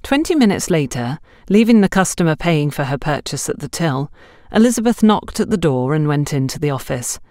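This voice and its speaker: A low-pitched woman reads scripted fiction as an audiobook narrator. Her delivery moves expressively up and down, and the sound is dry and close. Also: sing-song, fairly quick, hardly any pauses, a little mellow, no echo.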